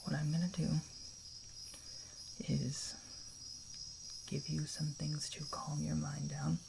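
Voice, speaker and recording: A man playing a kind, protective camper in an ASMR roleplay, speaking in a soft, breathy half-whisper with a hesitant, reassuring manner. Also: on the high side, level tone, slow pace, noisy background, exaggerated voice. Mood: soothing, reassuring. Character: kind, protective young male camper comforting a stranger.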